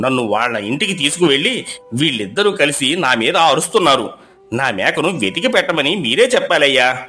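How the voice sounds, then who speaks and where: A man voicing a fussy villager pleading about his lost goat in a high, whiny voice. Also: pushed and bright.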